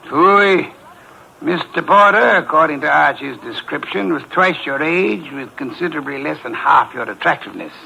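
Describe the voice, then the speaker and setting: Pompous, imperious private detective, bright gravelly voice, clipped formal diction, vintage radio mystery. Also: male voice, a little high, lively intonation, moderate pace, exaggerated voice, low-quality recording. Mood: stern, accusing. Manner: pompous, curt, formal and precise wording. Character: pompous, sedentary, brilliant middle-aged male private detective. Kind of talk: 1950s radio mystery acting. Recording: vintage 1950s radio broadcast, faint hiss.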